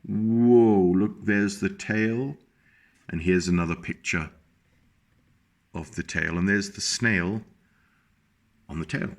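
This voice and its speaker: A man with a fairly low voice reads a picture book to young children, taking his time over every line. He speaks gently and stops often to show and explain the pictures. His delivery is unhurried and patient. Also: steady tone. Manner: slow, gentle, pauses to point out pictures. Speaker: teacher reading a picture book. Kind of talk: children's storybook reading.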